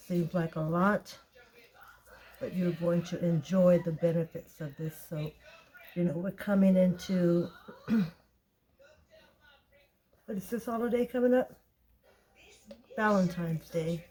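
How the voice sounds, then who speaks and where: Slow, raspy-voiced woman making soap. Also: fairly low pitch, steady tone, dark tone, rough recording. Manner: slow, chatty, thinking aloud while weighing. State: tired voice, clearing throat. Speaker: soap maker. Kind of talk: casual step-by-step tutorial.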